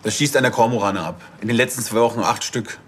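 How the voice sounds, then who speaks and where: A man's voice: lowish voice, quick pace, slight background noise, close to an everyday voice. An actor playing a plain, hesitant junior policeman in a TV crime comedy. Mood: puzzled.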